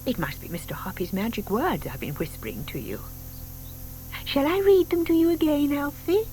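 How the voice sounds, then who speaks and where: An actress playing a sweet, excitable older British lady who dotes on her pet, cooing and gushing in an audio drama. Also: sits high, normal speed, low-quality recording. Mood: doting, cooing. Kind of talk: audio theatre acting. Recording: faint noise, 1990s tape dramatisation.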